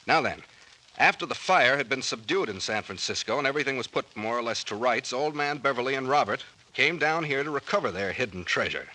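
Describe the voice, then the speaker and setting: Confident detective captain, vintage radio serial, lively pitch swings, forward bright tone, brisk storyteller. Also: male voice, poor audio. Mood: explaining, assured. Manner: brisk, slangy, expository. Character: confident male detective captain explaining a mystery. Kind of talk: 1940s radio drama acting.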